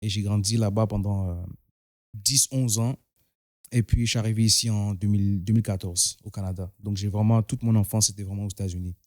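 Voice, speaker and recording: A young man in his twenties from Togo, raised in the United States, giving a soft-spoken personal testimony in a studio, often trailing off and repeating words. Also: fairly low pitch, fairly even intonation, fairly quick, no room sound. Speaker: guest.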